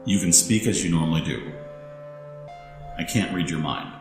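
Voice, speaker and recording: A man voicing a gruff, brisk shapeshifting dragon scout in an audio drama. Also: low-pitched, roomy sound, over music, close to an everyday voice. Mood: brisk, matter-of-fact.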